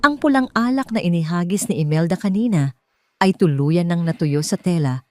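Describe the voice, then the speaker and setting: A woman storyteller with a fairly low voice and a soft, dark, mellow tone. She reads scripted drama as an audiobook narrator, with measured and formal phrasing.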